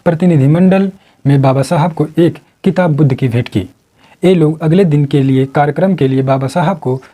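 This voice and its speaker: A man's voice: fairly high pitch, dark tone. A man narrating a scripted story in a dramatic storytelling style. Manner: acts out quoted dialogue within the narration.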